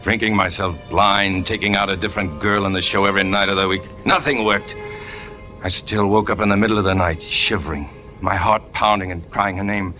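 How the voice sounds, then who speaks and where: An American actor playing a brooding, lovesick trapeze artist turned killer, terse and hard-boiled, in 1940s radio noir style. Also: male voice, on the low side, steady tone, brisk, bright, forceful tone, muffled recording. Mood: anguished brooding. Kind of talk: 1940s radio noir acting, confessional narration. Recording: old 1940s radio recording with music.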